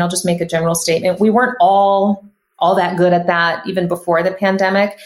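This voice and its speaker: A woman in her thirties, a podcast guest talking casually and emphatically, with frequent restarts. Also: on the low side. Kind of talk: casual conversational interview. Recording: echoey room.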